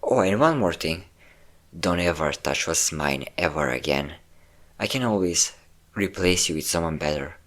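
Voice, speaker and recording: A man's voice: sits low, animated, moderate pace, fairly bright tone. A man playing a possessive, obsessive centuries-old vampire in a dramatic romantic audio roleplay, intense and theatrical in delivery. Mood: cold fury. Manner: intense, theatrical, swinging between tender and threatening. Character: possessive obsessive five-hundred-year-old male vampire lover, menacing, volatile.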